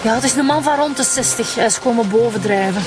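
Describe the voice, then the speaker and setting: A woman's voice: fairly high pitch, fast, close to an everyday voice. A woman with a Flemish accent playing a matter-of-fact homicide detective who reports informally in a radio drama. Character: businesslike female homicide detective. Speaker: police detective.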